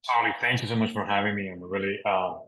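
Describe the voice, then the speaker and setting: A man's voice: fairly low pitch, fairly even intonation, rapid-fire, sharp tone. A middle-aged man from Colombia, a native Spanish speaker with a Colombian accent, telling his story on a webinar panel. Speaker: broadcast professional, panel guest. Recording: roomy, echoey sound.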